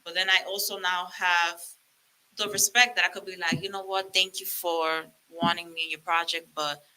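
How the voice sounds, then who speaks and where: A woman's voice: lowish voice, moderate pace, poor audio. A Dominican woman, a comedian and rapper, chatting animatedly as a guest and slipping into Spanglish. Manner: animated, laughing, mixes Spanglish. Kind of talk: casual interview chat.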